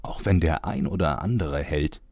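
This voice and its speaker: A man's voice: a bass voice, moderate pace, darkish tone, no room sound. A man playing a pompous, grumpy old-timer narrator in a mock-epic audio play, given to chatty asides. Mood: grand, dramatic. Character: grand, grumpy old-timer male narrator of heroic tales.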